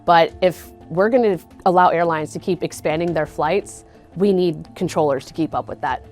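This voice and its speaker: A woman comments in an interview as an advocate. Her voice is a bit low for a woman. Faint music sits behind it. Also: normal speed, a little mellow.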